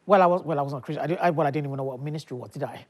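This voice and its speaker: Woman, 50s, from Nigeria, pastor guest; deep voice, chatty, laughs easily, restarts often. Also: racing, darkish tone. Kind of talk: casual interview talk.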